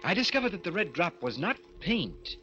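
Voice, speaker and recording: A man's voice: sits high, very animated, moderate pace, pushed and bright, muffled recording, full character voice. An actor playing a cultured, curious, scoffing man in an old-time radio drama, genteel and theatrical, narrating his own fascination with the sinister. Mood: brooding fascination. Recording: band-limited old radio recording with music.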